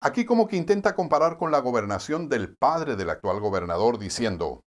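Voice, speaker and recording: A man with a Puerto Rican accent reads a scripted year-in-review commentary. His pitch swings widely and his delivery is wry and pointed, with a sardonic edge. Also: big pitch swings, a little fast. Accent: Puerto Rican Spanish. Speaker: news commentary host. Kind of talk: scripted news commentary narration.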